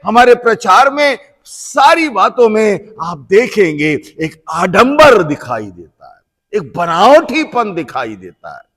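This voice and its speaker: A man preaching a sermon as a bishop, slow and emphatic, swinging from loud repeated phrases down to soft, quiet lines. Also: high-pitched. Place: church. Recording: long church reverb.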